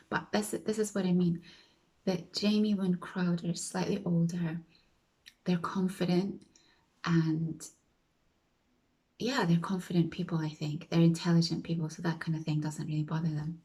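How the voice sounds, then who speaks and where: A woman's voice: fairly low pitch, dark tone. A woman vlogger chatting casually to her viewers, telling a story with frequent self-corrections and stretches that drop to a whisper.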